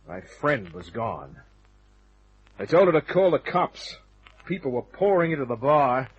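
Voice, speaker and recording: An actor playing a tough, sardonic hardboiled private detective in a radio drama, with clipped narration and dialogue. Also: male voice, medium pitch, sing-song, a little slow, husky, lo-fi sound. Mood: wry, narrating.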